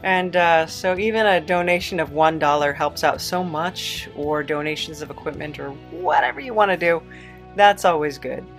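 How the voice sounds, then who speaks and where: A woman's voice: low-pitched, moderate pace, faint music behind. A woman hosting a podcast, chatting casually and informally to listeners with some hesitancy. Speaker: host and podcast creator.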